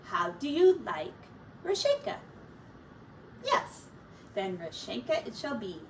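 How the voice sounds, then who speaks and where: A woman voicing a kindly old village grandmother in a children's storybook, speaking slowly and tenderly. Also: fairly high pitch, sing-song, full character voice. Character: kindly old Russian village grandmother who paints eggs. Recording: distant mic with faint background noise.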